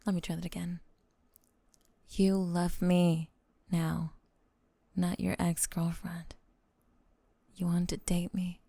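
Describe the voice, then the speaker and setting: A woman's voice: on the low side, level tone, slow, vocal fry, pauses often, mellow, no echo, exaggerated voice. A woman voicing a doting, possessive snake-girl girlfriend with sweet menace, in an intimate, coaxing ASMR roleplay that often drops to a whisper. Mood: coaxing, sweetly menacing.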